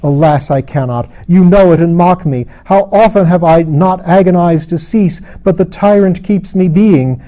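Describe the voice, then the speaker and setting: A man's voice: high voice, moderate pace, few pauses, dark tone, voice pushed up, close to an everyday voice. A man voicing a tormented, defiant fallen queen in a fantasy audiobook, bitter, proud and impassioned. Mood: despairing, cursing. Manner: impassioned, gasping outbursts. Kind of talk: dramatised audiobook reading of a fantasy novel.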